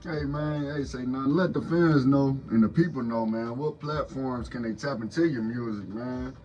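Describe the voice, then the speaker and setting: A man's voice: medium pitch, normal speed, dark tone, far from the mic, highs cut off, faint music behind. A man hosting a casual podcast interview, hyped and slangy, with frequent affirmations. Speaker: podcast host.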